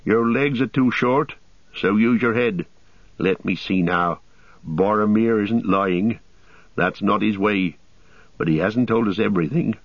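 A man's voice: on the low side, a little slow, no echo, highs cut off. A man voicing a loyal, anxious male hobbit servant with homely, rustic phrasing, for a storybook. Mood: anxious pondering.

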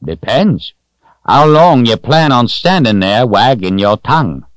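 A man's voice: mid-range voice, big pitch swings, a little slow, dry recording, studio quality, voice pushed up. A man voicing a jolly, teasing old man in an audiobook, with a hearty, folksy manner. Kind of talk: audiobook character voice.

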